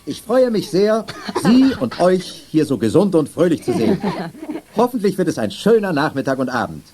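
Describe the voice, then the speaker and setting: A man's voice: high-pitched, sing-song, moderate pace, mellow, a little hiss or hum. An actor playing a jovial middle-aged father in a children's radio play, speaking with theatrical, playful ceremony. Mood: jovial, festive. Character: jovial, mock-pompous father addressing family and guests.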